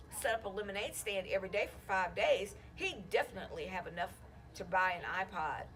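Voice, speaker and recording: A woman reads a children's storybook aloud in an expressive, animated way. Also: mid-range voice. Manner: animated, acting out the children's dialogue. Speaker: school counselor reading a children's book aloud.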